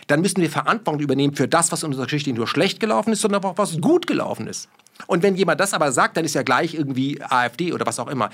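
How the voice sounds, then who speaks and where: Brief: man from Germany, rapid, emphatic, swears, fires rhetorical questions in conversation. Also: a little high, a bit bright. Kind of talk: heated podcast conversation.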